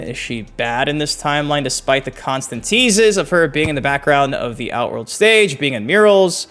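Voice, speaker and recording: Male gaming video host, slightly high voice, informal and slangy, an enthusiastic fan delivering casual news commentary. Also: fairly bright tone.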